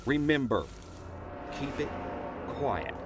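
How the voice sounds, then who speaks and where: A man playing a gruff, hot-tempered small-town sheriff in an audio drama, commanding, curt and coarse-mouthed. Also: mid-range voice, animated, slow pace, music in the background. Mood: stern.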